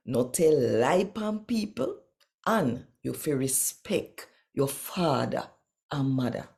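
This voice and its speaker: Low-pitched, expressive Jamaican woman reading aloud. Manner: deliberate, dramatic storytelling delivery in Jamaican Patois. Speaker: channel host and Bible reader. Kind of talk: expressive scripture reading and direct address to viewers.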